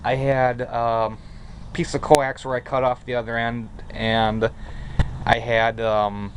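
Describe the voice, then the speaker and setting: Male hobby vlogger, mid-pitched, hesitant and informal, homemade camcorder sound with clear noise behind. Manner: halting, hesitant, rambling. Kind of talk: casual handheld show-and-tell narration. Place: around a house, basement and outdoors. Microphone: handheld camera microphone. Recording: noisy camcorder audio, steady background noise.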